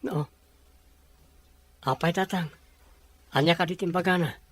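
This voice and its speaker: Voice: medium pitch, measured pace, fairly bright tone, slightly rough. An actor playing a concerned, respectful young son in an Ilocano audio drama, full of questions. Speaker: voice actor in a radio-style drama. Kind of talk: Ilocano radio drama acting.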